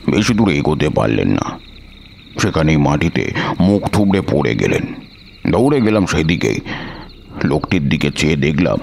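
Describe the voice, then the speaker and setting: A man narrating a suspense story in a measured, literary and dramatic manner. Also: a bass voice, gravelly, a little mellow. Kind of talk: dramatic scripted first-person story narration. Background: background music.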